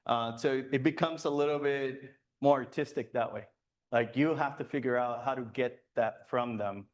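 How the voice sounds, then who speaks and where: A man's voice: medium pitch, normal speed. A man speaking as a guest at a photography club meeting, talking loosely with many fillers and restarts. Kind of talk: presentation with audience questions. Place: meeting room.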